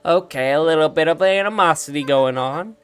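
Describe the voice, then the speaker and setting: A man's voice: on the high side, fairly quick, fairly bright tone, smooth, soft background music. A man giving casual, chatty game commentary, reacting and musing aloud as he plays. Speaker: let's play streamer.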